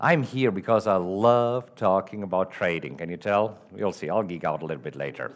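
A man from Germany with a German accent, giving a storytelling talk at a live event, animated and asking the audience questions. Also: sits low, moderate pace. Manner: animated, asks the audience questions, acts out the dialogue. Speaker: trading educator.

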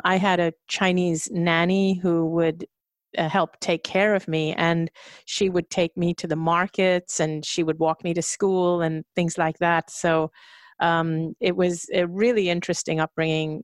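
A woman from Hong Kong with a fairly low voice speaks as an interview guest. She tells her story hesitantly, with frequent pauses and restarts. The recording sounds dry and close. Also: no echo. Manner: hesitant, with many fillers and restarts.

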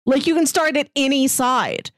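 A woman co-hosting a casual chat show, playfully arguing and explaining her reasoning in relaxed banter. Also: high voice, moderate pace, a crowd in the background. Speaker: podcast co-host.

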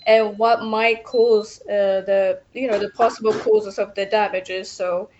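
A young woman from Ethiopia, a civil engineer answering radio interview questions with many pauses and fillers. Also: medium pitch, little pitch movement, darkish tone, dull audio, slight background noise.

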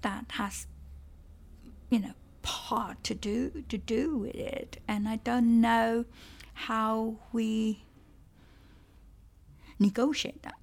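A woman whose first language is Cantonese, speaking in an unhurried, reflective interview manner. She pauses and repeats small words while she gathers her thoughts. Her voice carries a gravelly, creaky edge. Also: on the high side, fairly even intonation. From Malaysia. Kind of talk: conversational interview.

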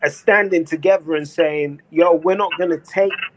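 A man's voice: fairly high pitch, fairly even intonation, moderate pace, background noise. Earnest slangy man in his forties. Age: 40s. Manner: earnest, rambling with restarts and slang. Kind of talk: casual conversation.

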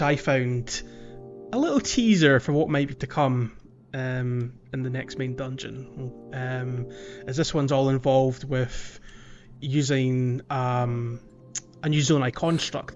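A man's voice: mid-range voice, muffled recording. A man co-hosting a casual gaming podcast, talking in an informal, loosely hesitant way with British slang. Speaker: podcast co-host.

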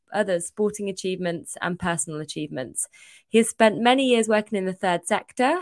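A young woman with a mid-range voice hosts a podcast with upbeat enthusiasm. She introduces her guest warmly, then slips into easy, friendly chat. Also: medium pitch. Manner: upbeat and enthusiastic, warm interviewer. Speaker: podcast host. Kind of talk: podcast hosting and casual chat.

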